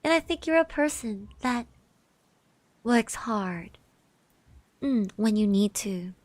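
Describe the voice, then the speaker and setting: A young woman voicing a shy, kind eighteen-year-old girl classmate in an ASMR roleplay, soft and gentle, hesitant and reassuring, close to the mic. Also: on the high side, lively intonation, takes it slowly, no echo. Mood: gently encouraging. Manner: hesitant, bashful, stammering, reassuring. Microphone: close microphone.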